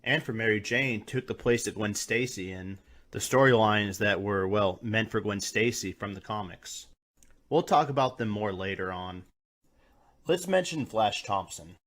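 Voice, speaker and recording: A man's voice: fairly low pitch, animated, normal speed, a bit bright. A man hosting a scripted video review, talking in a colloquial, mildly profane way. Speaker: reviewer, host.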